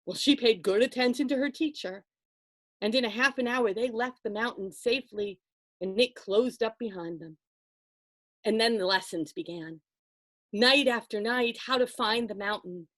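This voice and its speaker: A woman storyteller telling a folktale aloud to listeners, expressive and conversational, with dramatic pauses and asides. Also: medium pitch, dry recording.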